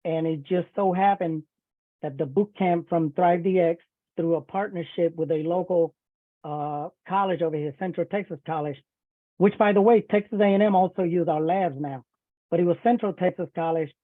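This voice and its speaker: High-voiced man on a call. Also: moderate pace, dry recording. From Puerto Rico. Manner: conversational, repeats words and restarts. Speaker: webinar speaker, cybersecurity professional. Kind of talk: casual presentation. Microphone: video call. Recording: thin phone-like online call audio.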